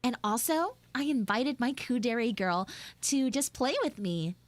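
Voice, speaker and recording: A high, swooping anime schoolgirl played by a woman, bratty and dramatic in true tsundere fashion. She takes her time, whining and sulking theatrically as she chats to listeners in a live roleplay. The sound is close and dry. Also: expressive, measured pace, no room sound. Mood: playful, chatty. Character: bratty, dramatic tsundere two-dimensional anime girl, student. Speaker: streamer, voice actor. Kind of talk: live improvised audio roleplay, chatting with listeners.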